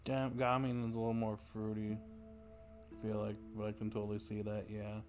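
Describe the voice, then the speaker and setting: Lower-voiced man livestreaming a game. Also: completely flat delivery, a little fast, music in the background. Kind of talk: casual game reaction commentary.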